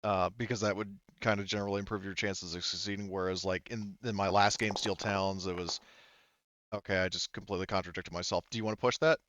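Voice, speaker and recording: A man with a smooth, clean voice runs a tabletop game in an informal way. He sounds close and dry, as on an online voice call, with the sound a little dulled. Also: deep voice, fairly even intonation, fast, fairly bright tone, no echo, lo-fi sound. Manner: informal, sweary. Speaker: game master. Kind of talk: casual online tabletop game narration and rules talk.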